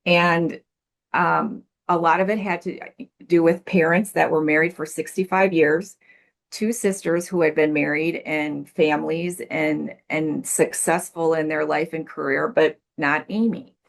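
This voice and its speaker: Low gravelly woman in her sixties. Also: fairly even intonation, measured pace. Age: 60s. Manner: earnest, thoughtful, repeats words while searching for them. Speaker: podcast guest, life coach. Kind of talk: reflective interview answers. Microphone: video call.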